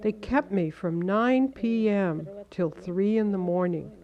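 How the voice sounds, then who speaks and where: A woman reading a translated first-person account as a measured, earnest voice-over. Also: medium pitch, lo-fi sound.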